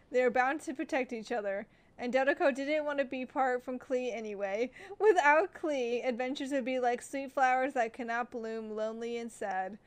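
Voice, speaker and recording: A woman's voice: high-pitched, smooth. A woman game streamer reading game text aloud like a storybook and reacting live in a gushing manner.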